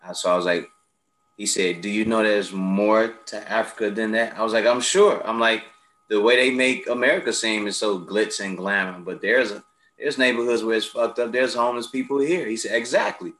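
A man's voice: on the low side, normal speed, slight background noise. A man in casual conversation, telling stories animatedly and acting out the people he quotes. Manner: animated storyteller, acts out quoted friends. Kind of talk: casual chat, storytelling. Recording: echoey room.

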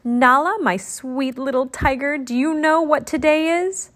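A woman voicing an excited, eager young girl genie in training from a children's storybook. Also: high-pitched, a little slow, pitched above the performer's own voice, exaggerated voice. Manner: exclaiming, eager.

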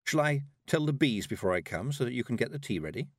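Man as young girl witch, storybook, earnest, bold, raised pitch, animated swings. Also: fast, no room sound, studio quality. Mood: cheerful. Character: plucky, clever young girl apprentice witch.

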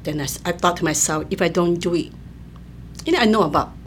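An older woman from Malaysia, a professor being interviewed, explaining in a measured way with many fillers. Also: lowish voice. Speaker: anthropology professor, guest. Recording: faint ambient noise.

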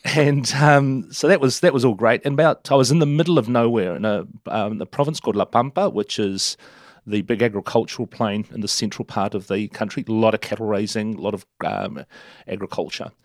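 A middle-aged man with a New Zealand accent, a guest telling stories in a relaxed, self-deprecating way with many restarts. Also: lowish voice, brisk, no echo, studio quality. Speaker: interview guest. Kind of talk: relaxed storytelling.

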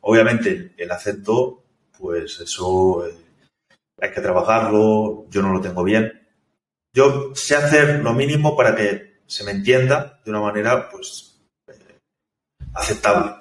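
A low-pitched man talks through his experience in a relaxed, halting way, often restarting his sentences. He sounds some distance from the mic, in an echoey room. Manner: thoughtful, hesitant, many restarts and fillers. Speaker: software developer guest. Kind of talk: casual interview conversation.

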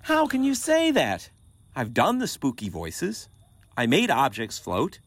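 A man's voice: mid-range voice, wildly swooping pitch, measured pace, no echo, exaggerated voice. An actor playing a needy, indignant century-old male ghost in a comedic audio play, theatrical and whiny, easily affronted. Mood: wounded, indignant.

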